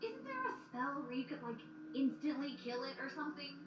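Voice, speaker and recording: A woman's voice: high-pitched, fairly quick. A woman playing a whiny, flippant, cocky magician pony in a cartoon-like audio drama. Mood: defensive. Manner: complaining, flippant, excitable. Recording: echoey, distant, noisy playback.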